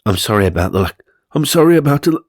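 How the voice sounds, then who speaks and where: A man's voice: big pitch swings, quick pace, no room sound, studio quality, natural voice. A man voicing a frightened, apologetic woman living in a haunted house in a comic story, plaintive and hesitant. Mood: fearful, plaintive. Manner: plaintive, hesitant, high put-on voice.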